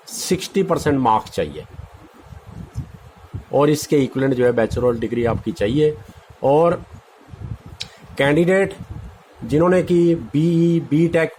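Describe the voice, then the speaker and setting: Indian male exam-prep presenter, fairly high-pitched, informal and instructive, mixes in English terms, faintly noisy amateur recording. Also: a little mellow. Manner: instructive, repeating key points. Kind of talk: informal explainer of a job notice, mixing in English terms.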